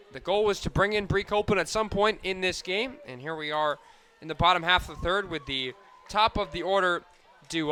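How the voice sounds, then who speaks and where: Bright-voiced man calling sports on radio. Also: high voice, clean tone. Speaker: play-by-play announcer.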